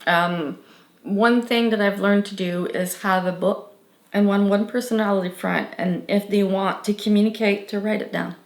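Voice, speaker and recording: A woman's voice: mid-range voice, steady tone, normal speed, echoey room. A young woman with a French accent, a native French speaker, answering interview questions earnestly and thoughtfully, with many fillers and restarts.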